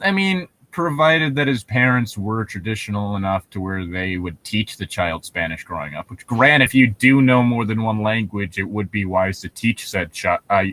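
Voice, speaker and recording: A man's voice: lowish voice, expressive, moderate pace, hardly any pauses, a bit bright. A man co-hosting a casual commentary chat, explaining things in a rambling way. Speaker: co-host.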